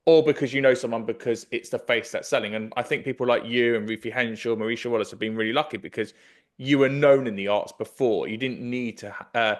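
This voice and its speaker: A man's voice: mid-range voice, steady tone, a little fast. A man in his thirties with an English accent, interviewing conversationally as a podcast host. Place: kitchen, indoors. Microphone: desk microphone with headphones.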